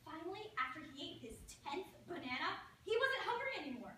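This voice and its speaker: Exasperated little girl played by a woman, stage reading, bright and swooping, very echoey hall. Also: very high voice, big pitch swings, a little fast, exaggerated voice. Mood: storytelling. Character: exasperated little schoolgirl Rondi with missing front teeth. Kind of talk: reader's theatre stage performance. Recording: very echoey room, distant mic, faint noise.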